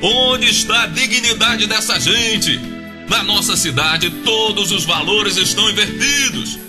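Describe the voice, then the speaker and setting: A man's voice: sits high, measured pace, over music. An actor playing a pompous small-town male politician in a Brazilian radio comedy, oratorical and emphatic as he preaches progress. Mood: rousing. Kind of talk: comic radio soap acting.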